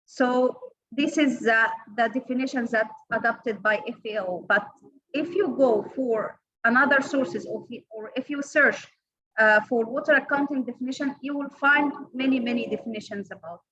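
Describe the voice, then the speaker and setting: A woman with an Egyptian Arabic accent giving an online training lecture, halting, with many fillers and restarts. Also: a little high, sharp tone, slightly rough, dull audio. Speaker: workshop trainer. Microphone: online call audio.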